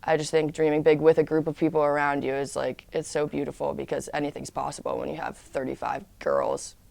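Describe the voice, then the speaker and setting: A woman's voice: low voice, little pitch movement, fairly quick, vocal fry, darkish tone, no echo. A woman college lacrosse player answering interview questions in an earnest, casual way.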